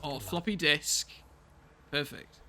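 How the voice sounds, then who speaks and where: A man with a high, bright voice reacts casually to a film as a commentary co-host. He is giggly and mocking as he goes. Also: slow pace.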